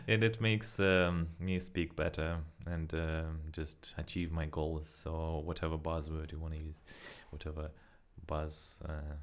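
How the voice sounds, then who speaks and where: Non-native English-speaking young man, deep clear voice, loose casual vlog chat full of fillers and restarts. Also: steady tone, takes it slowly. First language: not English. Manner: rambling, self-correcting, frequent fillers. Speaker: vlogger. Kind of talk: casual spoken practice vlog.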